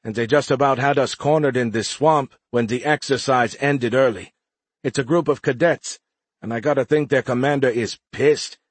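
A man's voice: mid-range voice, moderate pace, dry recording, pitched above the performer's own voice, natural voice. A man voicing a breezy, amused woman chief pilot in an audiobook. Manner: breezy, mirthful. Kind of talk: audiobook character voice.

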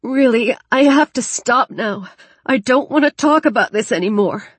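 A woman's voice: medium pitch, unhurried, slightly rough, no room sound, pitched above the performer's own voice. A woman voicing a frightened, grieving teenage boy with a thin, fragile voice in an audiobook story. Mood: weary, withdrawn. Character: frightened, grief-stricken teenage boy, exhausted and despairing.

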